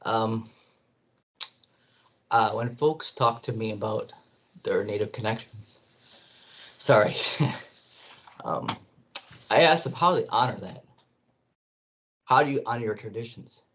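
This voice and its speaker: A man speaks very slowly and haltingly, apologizing as he searches for words. He sounds distant from the mic, with faint noise and clicks behind him. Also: on the low side, fairly bright tone. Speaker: workshop participant.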